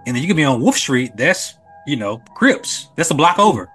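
A man's voice: on the high side, very animated, moderate pace, fairly bright tone, husky, soft background music. A man chatting casually on a podcast, explaining things in a conversational way with plenty of filler tags. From Little Rock, Arkansas, USA. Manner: explanatory, peppered with "man" and "you know". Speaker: podcast speaker. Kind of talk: casual chat.

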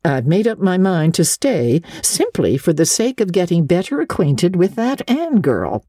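A woman's voice: fairly low pitch, sing-song, measured pace, dark tone, husky, no echo, clean studio sound, voice pushed up, heavily acted. A woman voicing a stern, crusty old lady in a storybook reading, blunt and old-fashioned in manner. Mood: grudgingly amused.

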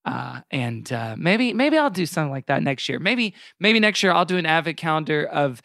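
A man's voice: a little high, sing-song, normal speed, gravelly, fairly bright tone, no echo, clean studio sound. A man hosting a podcast in casual comedic chat, with a jokey, mock-earnest way of talking.

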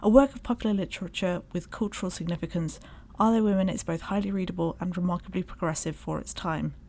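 A woman co-hosting a podcast, reading prepared introductions in a measured, articulate, bookish way. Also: on the low side, mellow, slight background noise. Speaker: podcast co-host, academic. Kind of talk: scripted podcast narration and introductions.

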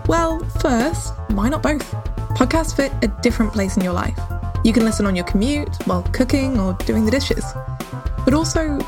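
A woman presenting earnest scripted promotional narration. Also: a little high. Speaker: trailer presenter. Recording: clear music bed behind.

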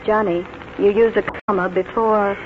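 An actress playing a kindly, reminiscing spinster schoolteacher in a 1940s radio drama, speaking tenderly and precisely. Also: female voice, mid-range voice, steady tone, normal speed, slight background noise, lightly acted. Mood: patient, instructing. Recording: tinny 1940s radio, loud music behind.

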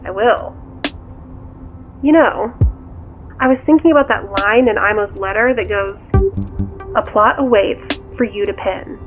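A woman's voice: medium pitch, measured pace, pauses often. A woman acting in an audio drama as a level-headed, inquisitive confidante, talking conversationally through a phone filter. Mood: friendly, probing. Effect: phone call filter. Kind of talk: naturalistic audio drama dialogue. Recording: tinny phone line, music bed.

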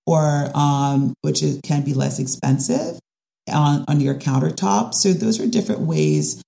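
A woman, a design specialist talking through her ideas in a casual interview, often pausing and restarting. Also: low voice, very animated, creaky, dark tone. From Caribbean. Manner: thoughtful, with frequent restarts and fillers. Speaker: design and construction specialist guest.

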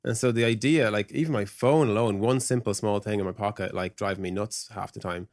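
A man's voice: on the low side, a little fast, a little mellow, no echo, clean studio sound. A man talking informally as a panelist in a tech discussion. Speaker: podcast panelist from a tech company.

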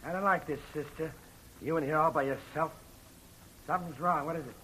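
A suspicious small-time thug with a raised, nasal-edged voice, sneering at everyone. It comes from an old radio broadcast with clear hiss behind the voice. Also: male voice, fairly high pitch, a little fast, sharp tone, rough recording. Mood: wary. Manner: wary, sneering. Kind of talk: 1940s radio acting.

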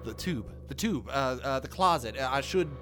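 A man's voice: medium pitch, animated, moderate pace, fairly bright tone, slight background noise, natural voice. An actor playing a lovestruck, reckless young man who stammers when panicked. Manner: stammering, frantic. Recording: background music.

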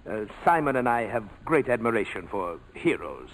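An actor playing a suave, sly employer in a 1940s radio drama, husky and courteous in an oily way. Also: male voice, medium pitch, big pitch swings, normal speed. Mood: smooth, sly. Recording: vintage 1940s radio broadcast.

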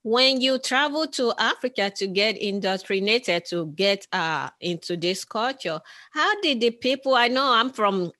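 Slow-talking interviewer from Nigeria. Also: male voice, sits high, lively intonation, bright, forceful tone, no echo, studio quality. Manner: slow, repeats and rephrases questions. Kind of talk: remote interview.

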